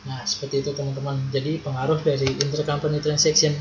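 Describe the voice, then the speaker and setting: A man's voice: medium pitch, little pitch movement, fast talker. A young man explains things step by step in a casual, conversational tutorial style, posing questions and then answering them. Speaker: accounting tutor. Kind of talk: casual explanatory video lesson. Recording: muffled lo-fi with faint background noise.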